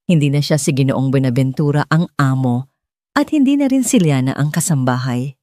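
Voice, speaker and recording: A woman's voice: a bass voice, expressive, normal speed, mellow, dry recording, studio quality. A woman reading a scripted dramatic story as an audiobook narrator.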